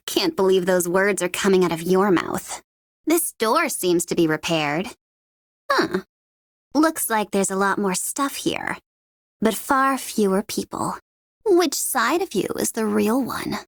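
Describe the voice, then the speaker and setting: Young female game mercenary, lively swinging pitch, dry close recording, mocking, playful, gleefully dangerous. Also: slow pace, long pauses, dry recording. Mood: mocking amusement, then cool, pointed. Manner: mocking, playful, sardonic, theatrical. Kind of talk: video game character voice lines.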